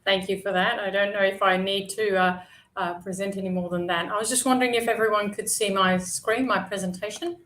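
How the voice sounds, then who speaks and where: A woman's voice: medium pitch, fairly even intonation, a little fast, fairly bright tone, poor audio. Chatty Australian woman presenting online. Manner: chatty, with frequent fillers and restarts. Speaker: guest speaker.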